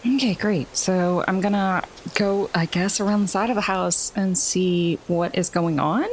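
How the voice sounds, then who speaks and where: A woman's voice: on the low side, measured pace, slight background noise, rough recording. A woman playing a tabletop role-playing game, chatting casually as she describes her character's moves.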